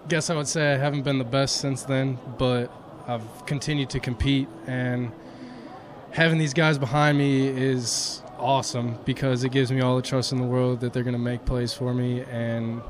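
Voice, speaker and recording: Soft-spoken young man, flat delivery. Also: mid-range voice. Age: young adult. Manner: modest, soft-spoken, full of "you know" fillers. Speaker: college baseball pitcher. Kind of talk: post-game interview. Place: outdoor ballpark stands. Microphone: handheld mic held by interviewer. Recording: outdoor noise around the mic.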